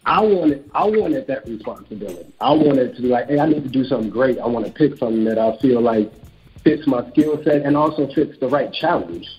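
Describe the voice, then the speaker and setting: A man in his thirties talks candidly about himself in a relaxed interview, his voice sitting at an ordinary middle pitch. The audio is dull and lo-fi, with faint music playing underneath. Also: fairly quick. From Chicago, USA. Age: 30s. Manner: candid, reflective, speeds up when quoting himself. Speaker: author and real estate broker. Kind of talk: casual interview conversation.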